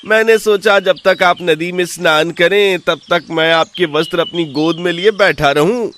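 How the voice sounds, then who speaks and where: A man playing a sly, boastful village swindler in an animated folk tale, with a theatrical, smooth-talking delivery. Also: high voice, moderate pace, a little hiss or hum. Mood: falsely humble.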